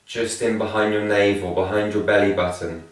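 A man leading a spoken guided meditation in a calm, soothing, measured way with long pauses between phrases. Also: fairly low pitch. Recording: very echoey room, distant from mic.

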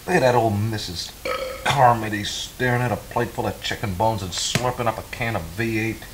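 A man's voice: on the low side, normal speed, a little hiss or hum, close to an everyday voice, rough recording. A man playing a wry, gossipy small-town local in an audio drama, with a folksy, dry manner. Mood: wry, uneasy.

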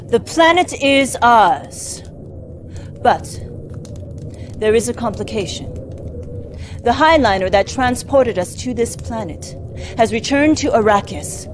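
A woman's voice: a little high, lively intonation, slow pace, long pauses, slight background noise. A woman playing a cold, imperious war commander in a video game, speaking in a formal, businesslike manner. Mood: stern briefing. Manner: formal, clipped, coldly businesslike. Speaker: military commander character giving orders. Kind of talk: scripted video game cutscene acting.

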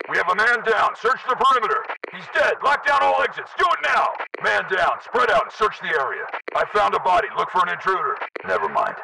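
A hard-edged male special-forces soldier from a video game. His voice is gravelly and pitched high, in clipped, alert military barks. It sits away from the mic under heavy noise. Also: distant mic, heavily acted. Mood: tense, alert, then low, wary. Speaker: soldier in battle. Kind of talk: military battle chatter, video game voice lines.

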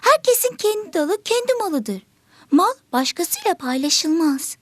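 A woman's voice: very high-pitched, sing-song, unhurried, a bit bright, no echo, heavily acted. A woman voicing a small, selfish, stubborn bird pupil in an educational cartoon, whiny and argumentative. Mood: defiant.

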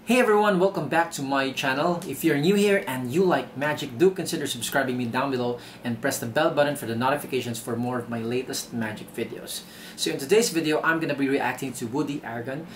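A man's voice: mid-range voice, fast, faint music behind. A young man with a Filipino accent speaking casually to camera as host of a reaction channel. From Philippines. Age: young adult. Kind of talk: casual vlog commentary to camera. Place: indoors by a brick wall.

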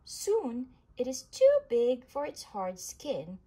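A woman reading a children's science book aloud in an animated storybook manner, with emphasis for young listeners. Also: sits high, slow. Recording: clearly noisy home recording.

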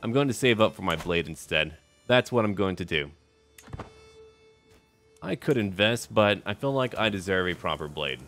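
A man's voice: low voice, animated, moderate pace, pauses often, a bit bright, slight background noise. A man hosting a roleplay strategy game video, musing aloud in short, clipped remarks. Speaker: gaming video host.

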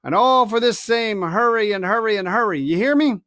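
A man's voice: high voice, normal speed, fairly bright tone. A man reading a storybook, voicing a sly, domineering pirate ship's cook with hectoring, colloquial sailor speech. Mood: scornful, scolding.

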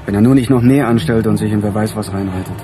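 An actor playing a seasoned, skeptical chief inspector in a German radio crime play, speaking bluntly and drily. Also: male voice, fairly low pitch, fast, noisy background, natural voice. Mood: skeptical, weary.

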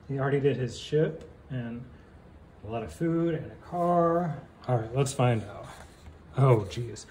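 A man reading a picture book aloud like a teacher, deliberately, with casual asides and questions for young listeners. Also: mid-range voice, a little mellow, a little hiss or hum.

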